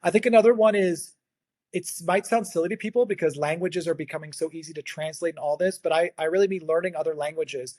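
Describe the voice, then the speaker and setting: A man, a native English speaker, giving earnest explanatory interview answers as a guest, sometimes halting and repeating words. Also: fairly high pitch, a little mellow, no echo, clean studio sound. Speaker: guest, agritech consultancy founder.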